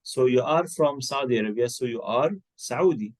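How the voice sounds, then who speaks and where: A man's voice: mid-range voice, normal speed, a little mellow. A man, teaching an English lesson and patiently coaxing and correcting his student's answers. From Egypt. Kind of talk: one-to-one online English lesson.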